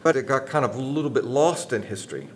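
A man's voice: mid-range voice, lively intonation, fast, a little hiss or hum. A man speaking reflectively in an interview as an opera composer.

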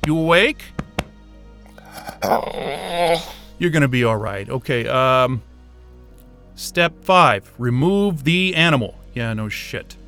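Sardonic, animated male astrophysicist. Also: medium pitch, unhurried, clear, resonant tone, faint music behind. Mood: concerned, weary. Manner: sarcastic, muttering, casual swearing. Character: sardonic male astrophysicist, exasperated but soft-hearted with a dog. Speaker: voice actor in an audio drama. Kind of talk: naturalistic science-fiction audio drama acting.

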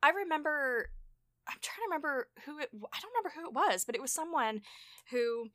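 A woman hosting a podcast, talking casually in an animated way with frequent restarts and exasperated asides. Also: high voice, brisk, no echo, clean studio sound. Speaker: podcast host. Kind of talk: casual conversation.

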